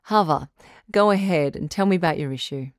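A woman's voice: low-pitched, a little fast, dry recording, studio quality, natural voice. A woman playing a frank, reassuring doctor who hosts a radio call-in advice show, encouraging and matter-of-fact in a conversational style. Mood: upbeat, encouraging.

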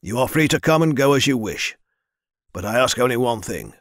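A man's voice: medium pitch, expressive, normal speed, no echo, studio quality, close to an everyday voice. A man voicing a stern, formal lord. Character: stern, powerful male lord, commanding.

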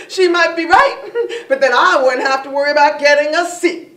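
Casting: actress as a fed-up, hard-drinking club woman, high voice, folksy theatrical complaining, recorded at a distance in a reverberant room. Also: normal speed. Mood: exasperated, wailing. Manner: folksy, colloquial, loud complaining with sighs. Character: exasperated, weary, hard-drinking blues-club woman ranting. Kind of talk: theatrical dramatic stage monologue. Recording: echoey room, distant mic.

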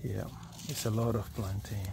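A man's voice: fairly low pitch, flat delivery, vocal fry, noisy background. A man from Ghana walking through his farm, plainly pointing out crops, recorded outdoors on a handheld phone. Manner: slow, plain, pointing things out. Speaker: farmer giving a farm tour. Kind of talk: casual walking tour narration. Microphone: phone held while walking.

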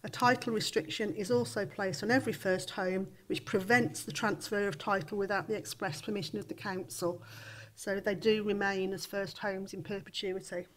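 A woman's voice: mid-range voice, steady tone, moderate pace. Woman with a cold, council officer, hesitant formal report delivery into a table mic. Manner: hesitant, many ums and restarts. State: has a cold, coughing. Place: large formal council chamber.

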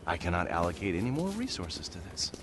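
A man's voice: deep voice, wildly swooping pitch, quick pace, background noise. A man playing a cocky, bullying corrupt government agent in a video game, sarcastic, theatrical and bossy. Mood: self-important. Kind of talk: video game cutscene acting.

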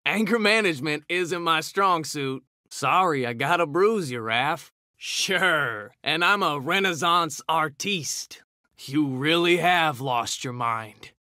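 A man's voice: a little high, pushed and bright, no echo, clean studio sound, full character voice. A man voicing a cocky, hot-tempered young mutant ninja turtle, trading brash, slangy taunts in a fighting video game. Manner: brash, slangy trash talk.

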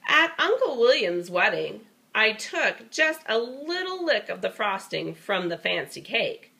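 A woman reading a storybook aloud to a child in an expressive, folksy, playful way with chatty asides. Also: mid-range voice, unhurried, vocal fry.

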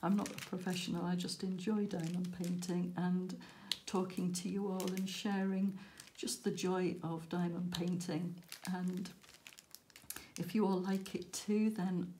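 A woman hosting a crafting vlog, croaky and tired after a late night, rambling and self-deprecating with frequent laughs. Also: fairly low pitch, flat delivery, a little slow, mellow, slight background noise. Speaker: crafting channel host. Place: indoors at a crafting table.

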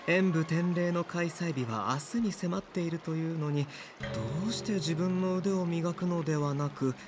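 An actor playing a polite, gentle young male physician in a game dub, explaining things in a measured way. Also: fairly high pitch, music in the background, lightly acted. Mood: calm.

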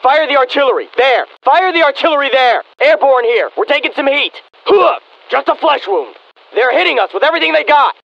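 An actor playing a confident American special forces soldier in a military video game, with terse, self-assured delivery. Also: male voice, very high voice, lively intonation, a little fast, vocal fry, heavily acted. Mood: urgent, strained. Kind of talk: military game unit acknowledgements. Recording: slightly muffled radio-style game audio.

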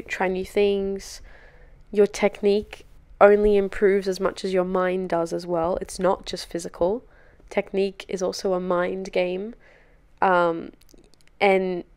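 A woman's voice: mid-range voice, a little mellow, smooth. A woman in her twenties chatting candidly and encouragingly, like a podcast host giving casual solo advice at home. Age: 20s. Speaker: podcast host and dance teacher. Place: living room sofa, indoors.

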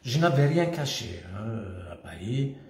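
Older Kabyle Algerian man, slow and halting. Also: medium pitch, sing-song. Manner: halting, hesitant, pausing often. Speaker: former community association leader. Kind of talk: casual personal monologue to camera. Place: indoors at home, room with stone wall and staircase. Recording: echoey room.

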